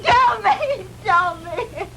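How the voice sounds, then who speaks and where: High-pitched, frightened young woman. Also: lively intonation, drawn-out words, full character voice. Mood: rising hysteria. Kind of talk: 1960s low-budget film acting. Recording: noisy old 1960s film soundtrack.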